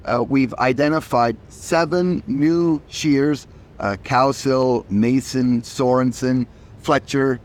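A man's voice: mid-range voice, steady tone, takes it slowly. A company chief executive, a man who is losing his voice, answering interview questions emphatically and often repeating words as he starts.

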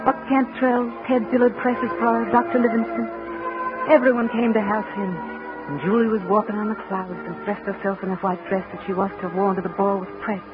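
A woman's voice: mid-range voice, flat delivery, a little fast, close to an everyday voice. Tinny vintage radio with music: older aunt played by a woman, devoted and anxious, narrating melodrama. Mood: wistful. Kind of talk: old radio drama narration. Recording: tinny old radio broadcast, music behind.

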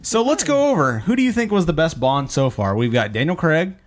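A man's voice: on the high side, very animated, a little fast. A man hosting a casual movie discussion, joking, profane and opinionated. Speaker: podcast host leading a film discussion.

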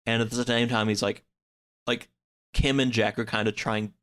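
A man in a casual podcast chat, recapping a story in a halting, hedging way. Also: mid-range voice, little pitch movement, fairly bright tone. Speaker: podcast speaker discussing books.

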